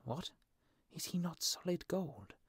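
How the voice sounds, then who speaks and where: A man voicing a small, vain, chatty storybook swallow with a brisk, self-important, complaining manner. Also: medium pitch, sing-song, darkish tone, no echo, clean studio sound, pitched above the performer's own voice. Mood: puzzled, peevish. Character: small vain chatty male swallow, fussy but kind.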